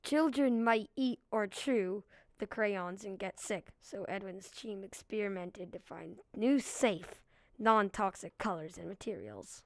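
Female reader for a children's book, measured and slow, closely dry recording. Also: mid-range voice, dry recording. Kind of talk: scripted read-aloud narration.

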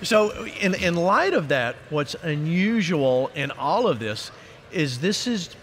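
A male host interviews guests on stage in front of an audience. His voice sits a little high, and there is faint live noise behind him. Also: very animated, takes it slowly. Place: stage in a large convention hall.

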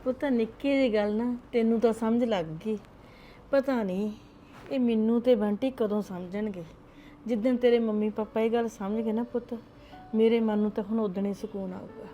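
A woman's voice: a little high, steady tone, normal speed, mellow, soft background music, natural voice. An actress playing a loving, remorseful older grandmother who talks tenderly and pleads. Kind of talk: family drama acting.

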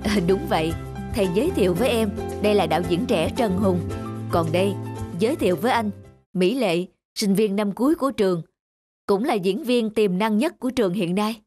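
A man voicing a courteous adult in a cartoon, speaking politely and in a measured way. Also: high voice, darkish tone, faint music behind, natural voice. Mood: complimentary. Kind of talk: dubbed cartoon dialogue.

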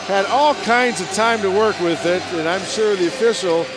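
A man's voice: high-pitched, moderate pace. A man doing live play-by-play ice hockey commentary, calling the action in clipped phrases. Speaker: play-by-play announcer. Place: indoor ice hockey arena. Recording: loud arena noise behind broadcast commentary.

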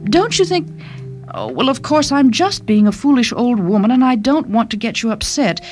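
Woman voicing an anxious, fussing older mother, lively pitch. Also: moderate pace, faint music behind. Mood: worried, hesitant. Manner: hesitant, fussing, apologetic. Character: anxious older mother writing to her daughter.

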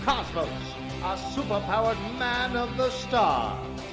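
A man's voice: very high-pitched, sing-song, takes it slowly, music in the background, full character voice. A man voicing a dramatic announcer for an adventure serial's theme, in a bombastic, theatrical manner. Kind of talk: theme song announcing over music.

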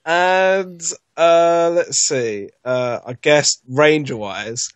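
A man's voice: a little high, drawn-out words, clear, resonant tone, dry recording. A man in casual chat, rambling with lots of fillers and restarts as a podcast guest. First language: not Japanese.

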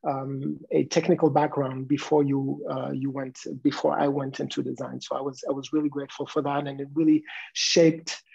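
A man in his forties from Morocco and Luxembourg, answering interview questions in a halting, reflective way over a video call. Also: mid-range voice, level tone, gravelly, mellow, husky. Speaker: interview guest, design innovator. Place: room at home. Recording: dull video-call audio.

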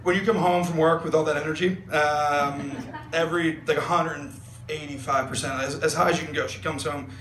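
A man's voice: a little high, steady tone, a little fast. A young man giving a best man's wedding toast on a handheld mic, rambling with fillers and jokes. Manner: rambling, filler-heavy, joking. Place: large wedding tent. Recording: echoey tent with loud room noise. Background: guests laughing.

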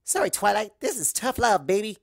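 A bubbly young mare from a storybook, pipes up in a very high, loud voice. She is excitable and playful, exclaiming her way through each line. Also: fairly quick, vocal fry, no room sound, clean studio sound. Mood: insistent, playful.

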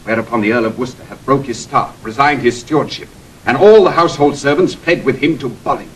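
A man acting a formal, mid-pitched messenger. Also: fast, slight background noise, natural voice, low-quality recording. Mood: urgent, grave. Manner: formal, declamatory. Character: urgent, deferential male bearer of bad news. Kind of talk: classical Shakespearean radio acting.

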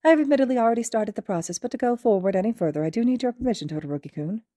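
A small, clever animal principal from a story, voiced by a woman narrator. The voice is raised in pitch and soft-toned. The manner is courteous, measured and formal, meant to reassure. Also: lively intonation, no room sound, studio quality, natural voice. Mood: reassuring. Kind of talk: audio fiction reading.

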